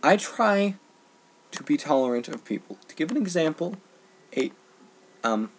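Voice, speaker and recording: A young man giving an earnest, exasperated, unscripted rant, speaking emphatically with halting pauses in a husky, gravelly voice. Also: fairly high pitch, very animated, slight background noise. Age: teens to young adult. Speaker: online ranter.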